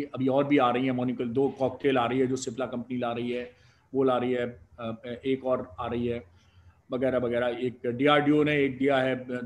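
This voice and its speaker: A man's voice: mid-range voice, darkish tone. A middle-aged man from India, a doctor and professor of respiratory medicine, explaining medical advice as a guest in a live discussion with emphatic, argumentative exclamations.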